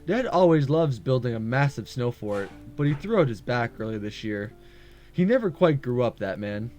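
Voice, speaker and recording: A man's voice: medium pitch, big pitch swings, moderate pace, darkish tone, highs cut off, lightly acted. A man voicing a lonely, homesick university student in introspective, polite visual novel narration. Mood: wistful. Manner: introspective first-person narration, polite and subdued. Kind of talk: visual novel read-aloud. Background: music.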